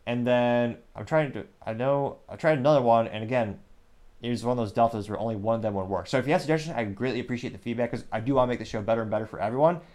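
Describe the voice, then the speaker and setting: A man's voice: medium pitch, darkish tone. Rambling male commentary show host. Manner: rambling, self-correcting, mentions stuttering and speaking fast. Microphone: small USB microphone.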